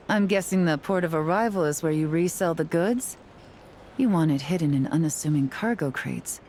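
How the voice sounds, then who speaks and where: A woman playing a composed, shrewd young captain in a video game, speaking in a cool, measured, businesslike way. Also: low voice, warm tone, a little hiss or hum.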